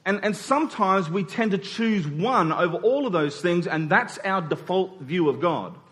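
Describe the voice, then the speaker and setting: Male preacher, higher-pitched voice, conversational sermon delivery with emphatic repetition and rhetorical check-ins. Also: lively intonation, moderate pace, a bit bright. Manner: emphatic repetition of key phrases.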